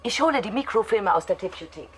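A woman's voice: mid-range voice, animated, fast talker, slight background noise, lightly acted. An actress playing a driven woman researcher in a radio drama, speaking in an intense, conspiratorial manner. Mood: urgent, triumphant.

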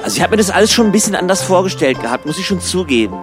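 A man's voice: sits high, big pitch swings, racing, over music. A man playing a naive, easily indignant welfare recipient in casual, questioning radio play dialogue. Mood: naive, musing.

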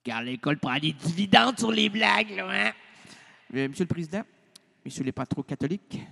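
A man's voice: sits high, lively intonation, a little fast, vocal fry, sharp tone. A middle-aged man with a Québécois French accent hosting a radio show, in theatrical and playful improvised banter that jumps between registers. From Quebec.

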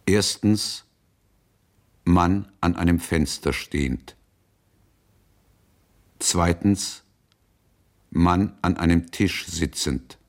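Very slow, low-voiced man listing. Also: heavily acted. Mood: detached, deliberate. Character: man listing picture subjects, slow and detached. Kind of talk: radio play, listing items.

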